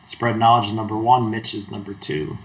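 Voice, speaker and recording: A man's voice: on the low side, flat delivery, a little fast, dull audio. A man co-hosting a sports betting show, adding dry, matter-of-fact remarks in casual chat. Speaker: sports handicapper co-host.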